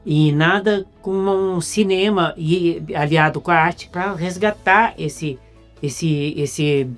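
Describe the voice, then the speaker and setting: A Brazilian-accented man hosting a casual interview, halting and repeating words as he searches for them. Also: on the high side, fairly bright tone, faint music behind. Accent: Brazilian Portuguese. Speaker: interviewer host.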